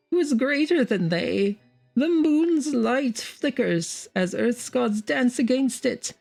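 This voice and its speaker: Woman acting a shrill, fanatical male prophet. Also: vocal fry, a little mellow, full character voice. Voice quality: shrill, high-strung. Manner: grandiose, archaic declamation. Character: Barzai, proud fanatical male prophet, exultant, wildly shouting. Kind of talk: dramatic audiobook character voice.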